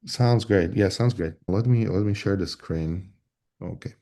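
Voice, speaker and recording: A man from Slovakia, an engineering lead, talking in a casual discussion over a video call, halting with many restarts and fillers. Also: low-pitched, mellow. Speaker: voice agent platform lead.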